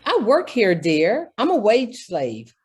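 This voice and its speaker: A woman acting as a harried career woman in an old radio play. The voice sits at a medium pitch but rises and falls in a very animated way. The delivery is earnest, emphatic and exasperated. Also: takes it slowly, slightly rough, exaggerated voice. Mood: dry, greeting. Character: harried, earnest female department store executive.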